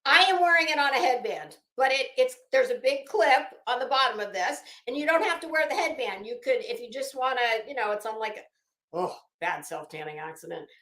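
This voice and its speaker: A woman's voice: sits high, expressive, brisk, sharp tone. A woman chatting casually as a livestream host, rambling and theatrical, often breaking off mid-thought and starting again. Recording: echoey room sound.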